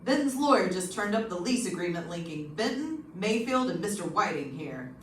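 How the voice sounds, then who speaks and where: An actor playing a brisk, matter-of-fact police lieutenant in an old-time radio detective drama. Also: mid-range voice, big pitch swings, lightly acted. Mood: brisk, businesslike. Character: businesslike police homicide lieutenant. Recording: echoey, distant, noisy room.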